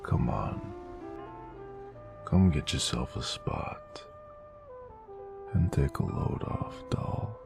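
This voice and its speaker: A male actor voices a weary noir gangster lover with an extremely low voice. He takes his time, drawling and sighing through old-time slang, yet swings expressively between murmurs and fuller speech. The manner is intimate, teasing and affectionate, made for romance audio. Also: huge pitch swings, very slow, long pauses, music in the background, exaggerated voice. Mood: tender, relaxed. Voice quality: very deep, gravelly, breathy murmurs.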